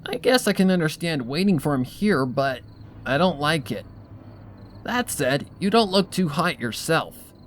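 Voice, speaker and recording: A man's voice: a little high, expressive, unhurried, slight background noise, pitched above the performer's own voice. A man voicing a brash, stubborn, loyal young female pony who speaks bluntly and insistently in a storybook reading. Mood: reluctant, concerned.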